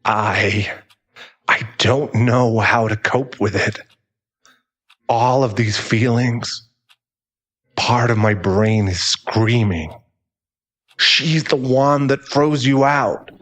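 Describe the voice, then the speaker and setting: A man playing a bitter, guilt-ridden divorced husband in an audio roleplay, halting and emotional, with some swearing. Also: medium pitch, a bit bright. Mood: remorseful, halting.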